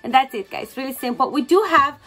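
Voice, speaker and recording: A woman's voice: sits high, a little fast, sharp tone. A young woman from Brazil with a Brazilian accent, a native Portuguese speaker, chatting casually to camera as a daily vlogger. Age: young adult. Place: indoors at home, kitchen and living room. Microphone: phone at arm's length. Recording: noisy home with appliance and child sounds.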